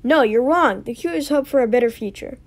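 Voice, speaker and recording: A woman voicing characters in an amateur animated story, reading scripted lines and sometimes stumbling over them. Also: high voice, fairly quick.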